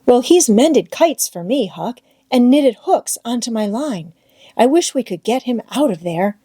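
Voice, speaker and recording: A woman's voice: fairly high pitch, lively intonation, a little slow, mellow, husky, no echo, voice pushed up. A woman voicing an anxious, earnest young boy in an audiobook, with folksy rustic dialect and eager questions. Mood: worried, pitying.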